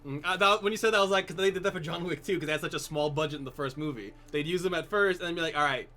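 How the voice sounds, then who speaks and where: A young man hosting a casual chat while playing a game, excitable and jumping between topics. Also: a little high, racing. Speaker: channel host.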